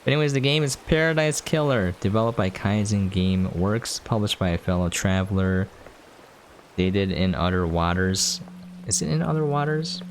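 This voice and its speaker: A man with a low-pitched voice talks through a video game as its host. His delivery is casual and rambling, laid-back in manner. There is clear noise behind his voice. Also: normal speed.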